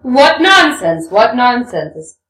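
A woman's voice: high-pitched, sing-song, measured pace. A woman voicing a spiteful young man who covers his embarrassment with swagger and snarls. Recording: echoey room, far from mic.

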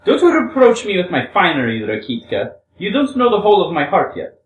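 A woman's voice: medium pitch, big pitch swings, normal speed, dull audio, pitched above the performer's own voice, close to an everyday voice. A woman playing a volatile, wounded young Russian woman in a storybook reading, with theatrical exclamations. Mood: taunting, defiant. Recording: roomy, distant microphone.

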